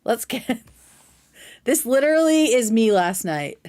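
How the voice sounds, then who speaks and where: A woman's voice: on the high side, darkish tone. Effusive, slangy woman chatting on livestream. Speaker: livestream host reacting to a video. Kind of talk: casual livestream chat with commentary.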